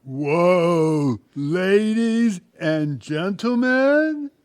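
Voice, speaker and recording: A man's voice: sits high, expressive, drawn-out words, smooth, dry recording, clean studio sound, exaggerated voice. A man playing a dim stripper policeman in an audio comedy, talking like a laid-back slacker. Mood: flirty, slow. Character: dim, slow-drawling stripper dressed as a policeman. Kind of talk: audio theatre comedy acting.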